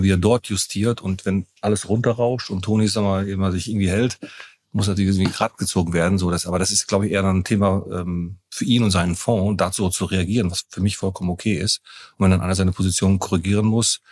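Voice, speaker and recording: Fast-talking man with a deep husky voice. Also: a little mellow. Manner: rambling, often restarting sentences. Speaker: company co-founder and executive, podcast guest. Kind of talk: casual business interview.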